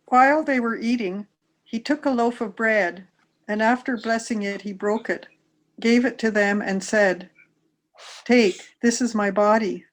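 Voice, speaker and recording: A woman's voice: fairly high pitch, unhurried, poor audio. A woman reading a scripture narrative aloud from a text, as a church member would for a service.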